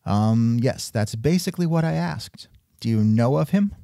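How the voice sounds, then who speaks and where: Sardonic male comedy host, animated intonation, dry close mic. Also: medium pitch, normal speed, creaky, no echo. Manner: sardonic, exasperated. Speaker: podcast host. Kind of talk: scripted comedic interview.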